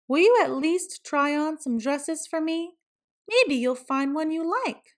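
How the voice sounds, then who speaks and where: A woman's voice: high-pitched, lively intonation, slow, pitched above the performer's own voice. A woman as a caring, insistent storybook mom. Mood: coaxing, pleading. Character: caring but insistent, worried mother. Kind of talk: children's storybook read-aloud.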